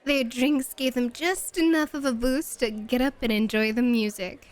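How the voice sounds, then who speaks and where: A woman playing a sly, playful club hostess in a flirty ASMR roleplay, teasing with coy, mock-scandalized, drawn-out phrasing. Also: on the high side, fairly even intonation, close to an everyday voice. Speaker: guest voice actor.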